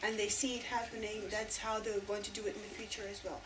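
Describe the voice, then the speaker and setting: A woman's voice: mid-range voice, level tone, fast. A woman in the audience making a casual, filler-heavy comment. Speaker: audience member. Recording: distant mic, noisy room.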